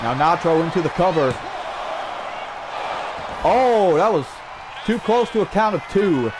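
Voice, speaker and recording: High-voiced excitable male wrestling commentator. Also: measured pace. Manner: energetic, frequent shouted exclamations. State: strained throat. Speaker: play-by-play commentator. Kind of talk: excited wrestling commentary over video game. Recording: loud game crowd and noise behind. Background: video game wrestling crowd.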